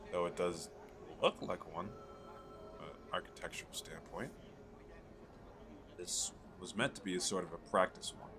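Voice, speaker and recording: A man playing an eager, chatty fantasy merchant in an ASMR roleplay, pitching his magical wares to the listener in a coaxing, conversational way. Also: background noise, close to an everyday voice. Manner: coaxing salesman, conversational, hesitant asides.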